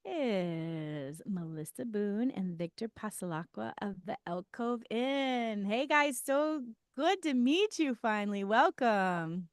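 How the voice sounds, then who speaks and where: A woman hosting a casual radio interview from a studio, chatty and quick to laugh. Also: medium pitch, expressive, clear, resonant tone, no echo. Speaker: radio show host. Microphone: studio mic.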